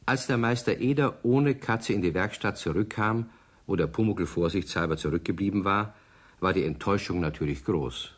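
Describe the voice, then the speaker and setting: A man narrating a children's radio play as a wry, measured storyteller with a husky voice. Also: low-pitched. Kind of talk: scripted narration.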